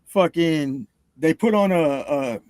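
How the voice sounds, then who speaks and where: A man's voice: fairly high pitch, slow pace, a little mellow, dry recording. A man in his thirties chatting casually and telling stories as a podcast guest, swearing freely and using lots of slang. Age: 30s. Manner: slangy, swearing, peppers talk with "bro" and "you know". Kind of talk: casual chat.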